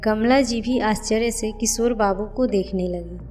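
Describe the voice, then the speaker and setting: A woman's voice: mid-range voice, a little slow, darkish tone, faint music behind. A woman narrating a scripted moral family story.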